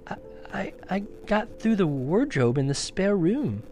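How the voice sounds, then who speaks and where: A man's voice: high voice, sing-song, unhurried, warm tone, soft background music, voice pushed up, heavily acted. A man voicing a puzzled little girl. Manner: hesitant, stammers. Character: young girl, polite and puzzled.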